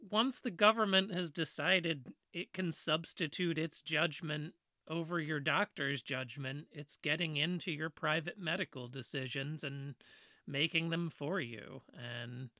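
A woman with a fairly deep voice, earnestly explaining a topic in a casual discussion. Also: pushed and bright, dry recording, clean studio sound. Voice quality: fairly deep voice she trained herself to talk around. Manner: earnest, explanatory, with frequent "you know" fillers.

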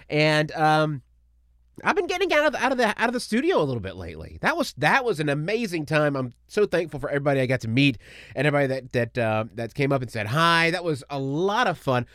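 A man's voice: a little high, a bit bright, no room sound. Guy rambling on his podcast, upbeat, voice kinda worn out from allergies, dry studio sound. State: slightly strained voice from allergies. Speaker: podcast host. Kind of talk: casual podcast monologue.

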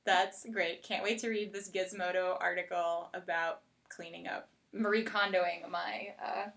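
A woman hosting a podcast in a casual chat, conversational and quick to react to what she hears. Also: on the low side, steady tone, vocal fry, a bit bright. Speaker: podcast host.

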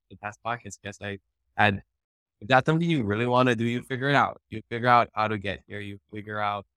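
A man's voice: lowish voice, fairly bright tone, smooth, dry recording. A man from Hawaii whose first language is Hawaiian, talking casually as a guest on a panel. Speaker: panel guest, podcast host.

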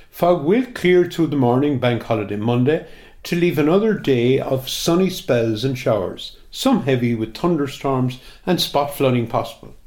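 A man's voice: mid-range voice, darkish tone. An Irish man reading a scripted weather forecast for community radio. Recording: roomy, echoing sound.

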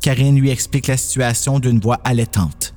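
A man narrates a scripted horror story. His voice sits at an average pitch, with music clearly playing behind him. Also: steady tone, moderate pace, a little mellow.

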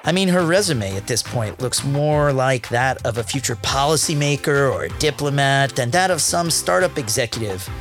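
A man's voice: medium pitch, animated, moderate pace, hardly any pauses. A man narrating a career podcast from a script, with a playful, wry tone. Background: soft music.

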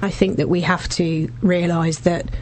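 A woman in her forties with a husky voice, a business founder talking warmly and earnestly as a guest in a radio studio interview. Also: on the low side, level tone, normal speed, slight background noise. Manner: warm, effusive, speaks in long earnest runs with restarts.

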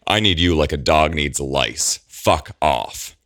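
A man's voice: rumbling low, slow pace, a bit bright, dry recording, clean studio sound, exaggerated voice. A man doing a growling, imperious male ruler. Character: commanding, growling male lord regent.

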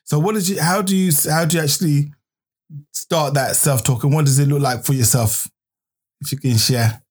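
A man's voice: a little high, steady tone, moderate pace, darkish tone, slightly rough. A man hosting a podcast interview, chatty and laughing often, restarting his sentences. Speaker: podcast host.

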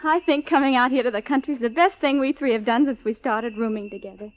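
High and girlish in pitch, an actress plays a timid young American woman in an old radio drama. She is naive, superstitious and hesitant, easily frightened, heard through a muffled vintage recording. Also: quick pace, lightly acted. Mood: naive, wondering. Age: young adult. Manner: hesitant, wide-eyed, anxious.

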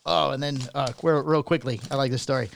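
A man co-hosting a talk show, reading news items aloud in a casual way and often halting to find his place. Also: mid-range voice, steady tone, gravelly, slight background noise. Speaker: podcast co-host reading news.

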